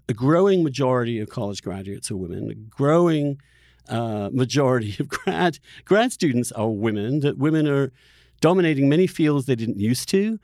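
Guy hosting a political talk podcast, mellow dark voice, thinks out loud and restarts words, very dry sound. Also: medium pitch, no echo. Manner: halting, thoughtful, often repeating words mid-sentence. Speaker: podcast host interviewing a guest. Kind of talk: discursive political discussion.

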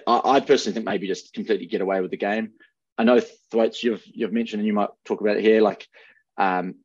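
Low-pitched New Zealand man, dull dry mic. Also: darkish tone, slightly rough, dry recording. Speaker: sports podcast panelist. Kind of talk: casual sports chat.

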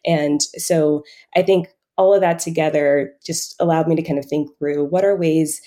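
A woman giving reflective answers as an interview guest, speaking in a halting, conversational way as she works out her thoughts. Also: deep voice, fairly even intonation, mellow. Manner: halting, thinking aloud, frequent hedging with "kind of" and "like". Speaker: podcast guest, financial coach.

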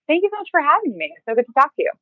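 A woman talking casually as an interview guest, halting and often rephrasing as she tells her story. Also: high voice, big pitch swings, no room sound. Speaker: podcast interview guest, writer and creator. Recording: thin, phone-like narrow band.

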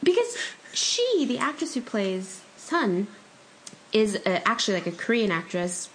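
A woman's voice: mid-range voice, very animated, a little slow, rough recording. Chatty woman with faint background hum. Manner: laughs often, chatty, many "like" fillers. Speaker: podcast co-host. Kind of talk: casual chat.

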